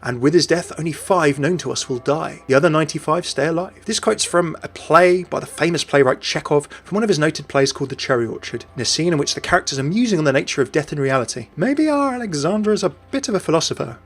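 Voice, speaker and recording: A man's voice: fairly high pitch, lively intonation, fast, few pauses, fairly bright tone, husky. A man hosting a video game lore video in scripted narration with chatty asides. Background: faint music.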